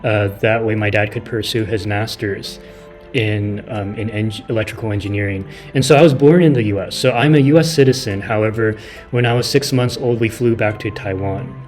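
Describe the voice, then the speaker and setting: A man's voice: fairly low pitch, normal speed, darkish tone, faint music behind. A man streaming a game and chatting casually with his viewers, rambling with many restarts. He is from California, and his first language is Mandarin. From California, near San Francisco. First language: Mandarin Chinese. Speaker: gaming streamer. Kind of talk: casual live-stream chat, reading chat.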